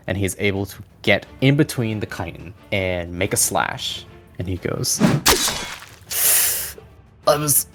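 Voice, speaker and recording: A man narrating combat as the game master of a tabletop game. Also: lowish voice. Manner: descriptive, steady narration of combat.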